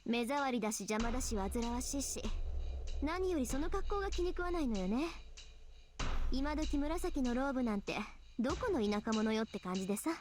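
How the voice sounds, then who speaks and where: An actress playing a proud, sharp-tongued young female mage in a Japanese visual novel, brash and provocative. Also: sits high, lively intonation, very fast, background noise. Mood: scornful, provoking. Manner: brash, taunting, self-assured. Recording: game audio under loud music.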